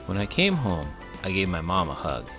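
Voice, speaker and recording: A man's voice: sits low, huge pitch swings, normal speed, music in the background. A man reading a children's book aloud as host, theatrical and full of big, silly emotion.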